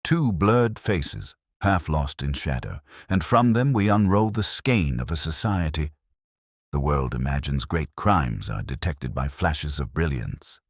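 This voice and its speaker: A man voicing a cool, detached Victorian detective in an audiobook, speaking formally and quietly in aphorisms. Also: rumbling low, animated, unhurried, dry recording, studio quality, heavily acted. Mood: musing, quiet. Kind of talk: audiobook character dialogue.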